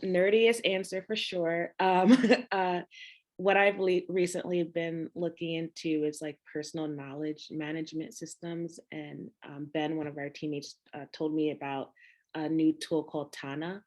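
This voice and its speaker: A woman's voice: low-pitched, flat delivery, a little slow, a little mellow. A woman speaking as a panelist on a video call, sheepish and trailing off. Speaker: panelist, senior associate. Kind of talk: casual panel talk.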